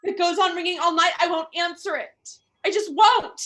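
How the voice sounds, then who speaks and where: A bedridden woman in a radio drama, very high and piercingly bright in voice. She is nervous and imperious, fretting and complaining with melodramatic indignation. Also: fairly even intonation, a little fast. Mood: anxious, fretful. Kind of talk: radio play acting.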